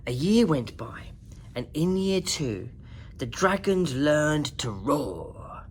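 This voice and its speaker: A woman narrating a children's picture book in an expressive, dramatic, singsong way, like a principal at story time. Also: deep voice, takes it slowly, slight background noise. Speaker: school principal reading to children.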